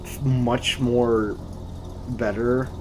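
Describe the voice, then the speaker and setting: A teenage boy co-hosting a casual chat, hesitant with dry deadpan quips. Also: mid-range voice, drawn-out words. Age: teens. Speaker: co-host. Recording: noisy background.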